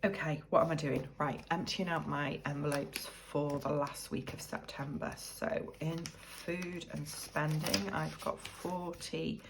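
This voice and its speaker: A woman's voice: low-pitched, fairly even intonation, measured pace, few pauses, background noise. A British woman with a cold, chatting and thinking aloud as she sorts cash into budget envelopes. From United Kingdom. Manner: chatty, thinks aloud while counting money. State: croaky from a cold. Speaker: budgeting vlogger. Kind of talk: casual chat while cash stuffing.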